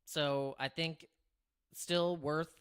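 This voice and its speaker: Raspy-voiced man rambling on a livestream. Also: a little high, steady tone, very slow, fairly bright tone. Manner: rambling, with many restarts and filler words. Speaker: crypto livestream host. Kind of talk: casual livestream chat. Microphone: streaming microphone through streaming software.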